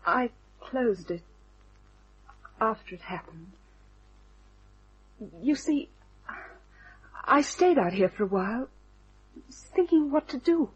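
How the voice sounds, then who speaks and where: Distraught young femme in radio noir, high voice, slow and halting, very breathy, dull muffled recording. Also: female voice, lively intonation, heavily acted. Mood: tense, hesitant. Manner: hesitant, halting, pleading. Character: frightened, pleading young woman, distraught mystery client. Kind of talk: old-time radio drama acting.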